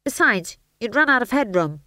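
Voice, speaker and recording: A gloomy old-hand dwarf, as a woman narrator puts him on grumbles in a weary, dry way. The sound is close and dry, with a pitch that still moves a lot. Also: sing-song, normal speed, pushed and bright, no room sound. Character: Howell, a gloomy, wary male dwarf.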